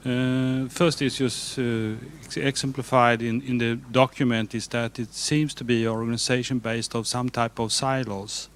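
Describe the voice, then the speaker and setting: A man's voice: mid-range voice, flat delivery, moderate pace, few pauses, a little hiss or hum. A man contributing to a seminar discussion, hesitant, with many fillers and restarts. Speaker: seminar participant.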